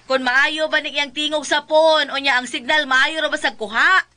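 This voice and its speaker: A woman's voice: high-pitched, fairly even intonation, moderate pace, sharp tone. A woman playing a vain, chatty, boastful wife in a radio drama, with a Cebuano Filipino accent and a gushing, rambling manner. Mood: gushing, showy. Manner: gushing, rambling, full of exclamations.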